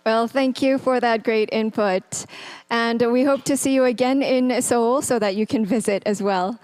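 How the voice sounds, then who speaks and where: A woman moderating a conference, asking panelists questions politely and briskly. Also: a little high, fairly even intonation, darkish tone, dry recording. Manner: brisk and polite, slows down and speaks carefully in English. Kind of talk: bilingual moderating, switching between Korean and English. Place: conference room.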